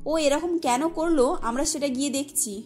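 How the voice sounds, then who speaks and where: A woman voicing a scheming, sharp-tongued young wife in a cartoon, speaking in an insistent manner. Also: high voice, moderate pace, faint music behind, close to an everyday voice. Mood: indignant concern. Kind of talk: cartoon story voice acting.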